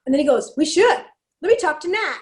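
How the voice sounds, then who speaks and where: A woman from Canada with a raspy, fairly deep voice, telling stories in a casual chat and acting out the lines she quotes. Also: fairly quick, reverberant. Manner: animated, acts out quotes, laughs often. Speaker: podcast guest, singer and speaker.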